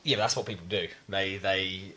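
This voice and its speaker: A young man co-hosting a podcast, chatting casually in a halting way, with many fillers and restarts. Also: sits low, steady tone, bright, forceful tone, a little hiss or hum. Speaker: podcast co-host. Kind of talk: casual chat.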